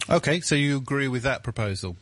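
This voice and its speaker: A man's voice: medium pitch, animated, fast talker, fairly bright tone, slight background noise. A male radio host who interviews a guest in a probing, slightly teasing way.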